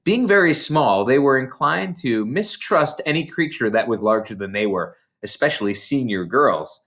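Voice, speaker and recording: A man narrates a children's novel in a mid-pitched voice. He reads expressively, in the style of a storybook read aloud. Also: expressive, normal speed, a bit bright. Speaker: storybook reader.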